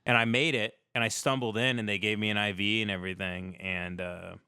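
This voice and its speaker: A man's voice: on the low side, little pitch movement, fairly quick, sharp tone, clear, resonant tone, dry recording, studio quality. A man hosting a livestream in a casual comedic chat, rambling through irreverent, profane stories. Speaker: livestream show host.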